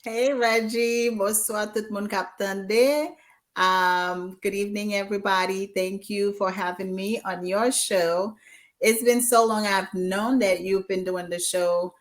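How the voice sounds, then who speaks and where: A woman's voice: medium pitch, steady tone, measured pace. A woman in her forties from Haiti, a guest chatting casually on an online show and moving between English and Haitian Creole with many ums. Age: 40s. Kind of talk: casual interview chat.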